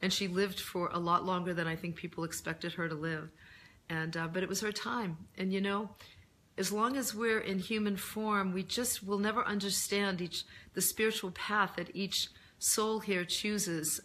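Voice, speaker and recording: A middle-aged woman with a hoarse, deeper-than-usual voice from laryngitis, hosting a casual live chat and answering readers' comments in a gentle, reflective way with many pauses and ums. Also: fairly even intonation.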